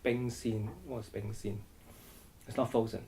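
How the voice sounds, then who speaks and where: Middle-aged man from Hong Kong, halting interview answers, low and warm. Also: level tone, slight background noise, rough recording. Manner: hesitant, frequent restarts and repeated words. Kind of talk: oral history interview.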